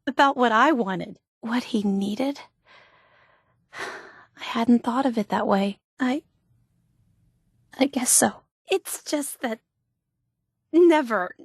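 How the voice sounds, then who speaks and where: A woman's voice: a little high, slightly rough, dry recording, clean studio sound, heavily acted. A woman voicing a bubbly, obsessive young female superfan in a video game, earnest and gushing. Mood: wistful, moved. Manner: gushing, earnest, wide-eyed enthusiasm. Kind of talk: video game character voice acting.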